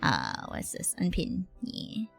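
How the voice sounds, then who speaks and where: A woman's voice: lowish voice, huge pitch swings, slow, creaky, slightly rough. A young woman livestreaming a game, chatting casually and slangily with viewers. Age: young adult. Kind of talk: casual chat while gaming. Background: game music.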